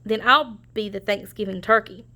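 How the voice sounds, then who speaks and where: A woman voicing a cocky male turkey in a children's storybook, bragging and exclaiming loudly. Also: medium pitch, normal speed, lightly acted. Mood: cocky, boastful. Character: boastful, cheating male cartoon turkey, cocky show-off.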